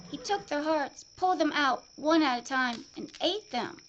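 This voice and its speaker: A woman voicing a shaken frontier survivor, blinded and haunted, speaking in a high, halting voice. The audio drama sound is dull and lo-fi, with faint hiss underneath. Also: a bit bright.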